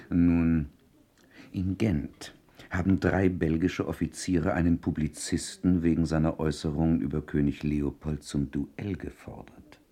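An actor playing a sombre friend with troubling news in radio play dialogue, his voice husky and dark. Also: extremely low pitch, fairly even intonation, a little slow, heavily acted. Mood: subdued, grave.

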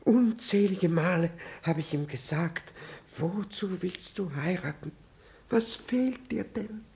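An actor playing a jealous, middle-aged husband in a radio play, pompous and evasive, stammering with theatrical indignation. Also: male voice, high voice, slow pace, gravelly, a little mellow, heavily acted. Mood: flustered indignation. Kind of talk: 1960s German radio play acting.

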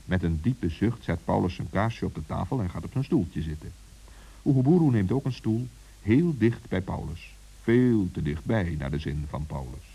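Mellow, husky male storyteller. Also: deep voice, animated, normal speed, low-quality recording. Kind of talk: scripted children's story narration.

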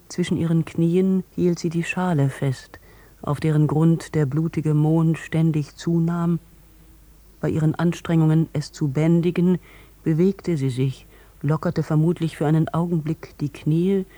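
A man's voice: a little high, dark tone, no echo. A man narrating scripted literary prose for an audio drama.

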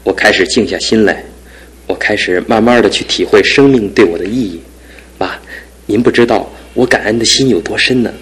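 A man's voice: fairly low pitch, fairly quick, a bit bright, breathy edge, rough recording. An actor playing a young husband recovering from an injury, talking sincerely and gratefully to his mother in a radio drama. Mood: earnest. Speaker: son and husband.

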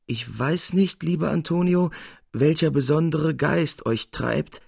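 Audiobook role, played by a male reader: weary old painter, male, skeptical and emphatic, raised husky tone, courtly wording. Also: on the high side, unhurried, a little mellow, dry recording, clean studio sound, voice pushed up, heavily acted. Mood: weary, puzzled. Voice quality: weak, plaintive when ailing. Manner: emphatic, teasing, old-fashioned formal address.

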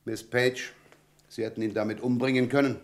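A man's voice: mid-range voice, steady tone, moderate pace, lightly acted. Wry, self-assured police inspector, played by a man. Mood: dryly triumphant. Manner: drily ironic, politely explaining. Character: self-assured, wry male Scotland Yard chief inspector. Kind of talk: German radio crime drama acting.

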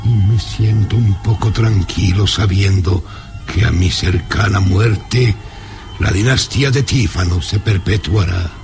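An actor playing a gaunt, imperious old baron in a radio drama, speaking gravely and theatrically. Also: male voice, deep voice, a little mellow. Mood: solemn, mournful. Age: older. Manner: slow, grave, theatrical, commanding. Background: dramatic music.

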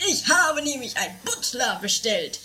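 A woman's voice: on the high side, sing-song, moderate pace, a loud crowd. A woman playing a chatty, scheming member of an anarchic castle household in a comedy radio play, theatrical in delivery. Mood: chirpy, scheming.

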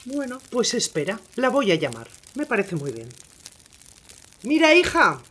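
Casting: blunt rural mother, high-pitched, very animated, commanding; slightly noisy radio play. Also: exaggerated voice. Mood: satisfied, bossy. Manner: brisk, commanding. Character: blunt, bossy village mother of a grown daughter.

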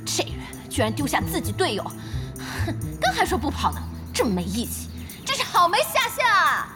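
An actress playing a brash, excitable young female gamer, boldly exclaiming and indignant in an audio drama. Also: very high-pitched, normal speed, exaggerated voice. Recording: music under the voice.

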